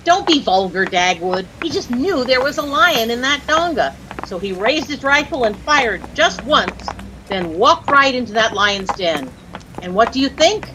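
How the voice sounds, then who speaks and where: An actress with an American accent playing a chirpy, easily dazzled young housewife who gushes and lightly scolds in a 1940s radio comedy. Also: female voice, fairly high pitch, very animated, a little slow. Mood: indignant, scolding. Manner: gushing, breathlessly admiring, lightly scolding. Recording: noisy old-time radio recording.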